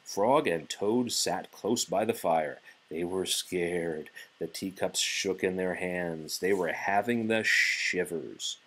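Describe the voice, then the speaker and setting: Deep, husky man reading a children's story. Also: takes it slowly, few pauses, fairly bright tone, rough recording. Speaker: father reading aloud to child. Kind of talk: animated children's storybook narration.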